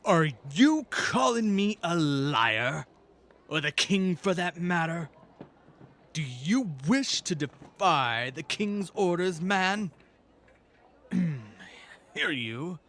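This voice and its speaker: Man acting a pompous high priest, slow and swooping. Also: very animated, fairly bright tone, exaggerated voice. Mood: scornful. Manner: haughty, halting, commanding. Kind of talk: fan audiodrama acting.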